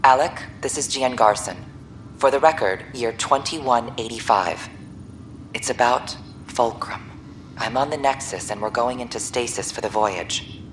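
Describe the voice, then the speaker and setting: A woman's voice: a bass voice, measured pace. A woman playing a troubled, serious expedition founder leaving an uneasy recorded message in a video game. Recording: noisy recorded log message.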